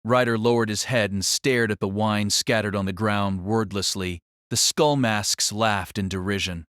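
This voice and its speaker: A man's voice: fairly low pitch, steady tone, moderate pace, fairly bright tone, dry recording, clean studio sound. A man narrating a fantasy story in a dramatic, theatrical, scripted style.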